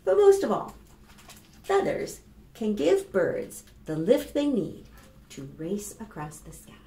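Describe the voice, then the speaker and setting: A woman in her fifties with an American accent, reading aloud to preschoolers as a children's librarian in an expressive, instructive manner. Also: a little high. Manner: expressive, instructive, addressing young children with questions. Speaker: children's librarian and storytime host. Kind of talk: read-aloud and rhymes for preschoolers. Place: small plain indoor room. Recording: roomy echo with clear background noise.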